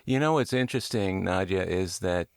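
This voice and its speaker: A man's voice: low voice, animated, moderate pace, creaky, smooth, no echo, clean studio sound. A man hosting a conversational interview, reflective and drawing on his own experience as he asks questions. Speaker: interviewer and podcast host.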